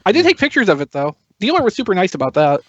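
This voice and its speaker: A man's voice: high voice, huge pitch swings, rapid-fire, no room sound. A male podcast panelist chatting casually in a wry way over a slightly dull voice call. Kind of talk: casual chat.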